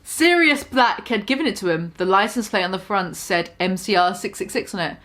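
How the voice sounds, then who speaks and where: A woman's voice: mid-range voice, animated, brisk, a bit bright. A young woman giving a mock-dramatic reading of a fan story, swearing and breaking off to comment on the text. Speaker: reader.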